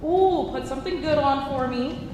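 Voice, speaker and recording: Woman acting Scooter, a high-voiced defiant young lover. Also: normal speed, a little mellow, lightly acted. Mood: playful, wistful. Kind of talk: staged play reading. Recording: echoey hall, noisy live stage pickup.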